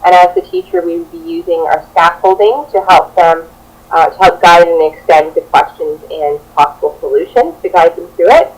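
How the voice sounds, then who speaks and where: A woman's voice: fairly low pitch, lively intonation, normal speed, breathy edge, rough recording. A young adult woman giving a prepared classroom presentation as a student teacher, partly reading. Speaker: student teacher presenting a children's book project.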